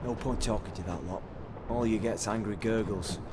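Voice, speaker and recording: An actor playing a rough, chatty lowborn prisoner, folksy and resigned, in a video game. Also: male voice, moderate pace, heavy background noise, close to an everyday voice. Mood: resigned, gossipy. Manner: colloquial, folksy, rambling.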